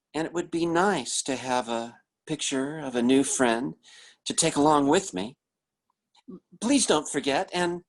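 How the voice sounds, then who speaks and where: A man playing a polite, lonely American engineer in his twenties, reading a letter earnestly in old-time radio drama style. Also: a little high.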